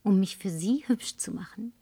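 Lonely tipsy flirt, all breathy whispers, coaxy and pushy, dry booth sound. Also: female voice, mid-range voice, measured pace, warm tone, no echo. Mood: flirty. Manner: coaxing, seductive, at times demanding. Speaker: advertising agency woman. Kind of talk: German film dub.

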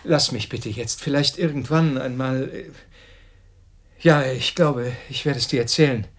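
An actor playing an agitated male scientist in a radio drama, halting and intense, repeating himself. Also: medium pitch, lightly acted. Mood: shaken, urgent. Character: Peter, agitated male scientist shaken by a death threat. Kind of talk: German radio drama acting.

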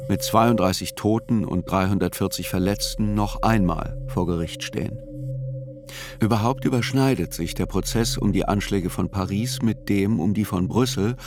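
A man acting as a writer who narrates a trial report in a radio play, measured, sober and precise. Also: deep voice, noisy background.

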